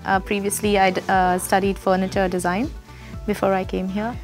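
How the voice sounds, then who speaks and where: A woman's voice: medium pitch, little pitch movement, normal speed. A woman in her twenties from India with an Indian accent, speaking unscripted as a design student in an interview. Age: 20s. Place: busy classroom studio, indoors. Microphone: lapel mic. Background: soft background music.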